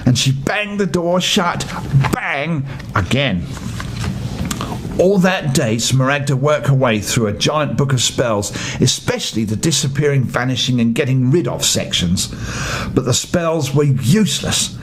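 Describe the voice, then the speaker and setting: An older man reading a children's bedtime story with expressive narration. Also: measured pace. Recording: faint rumbling background noise.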